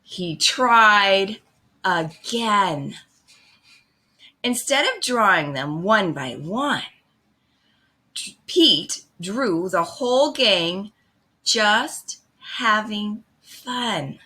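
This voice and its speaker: A woman reading a children's picture book aloud in an expressive, deliberate way. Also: mid-range voice. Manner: slow, expressive, deliberate phrasing. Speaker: storybook reader.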